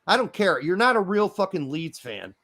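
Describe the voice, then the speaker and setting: Brief: fifty-year-old American-accented man, co-host, profane ranting, lively pitch swings. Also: normal speed. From Germany. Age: 50s. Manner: profane ranting, loud outbursts. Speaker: podcast co-host. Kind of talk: casual chat.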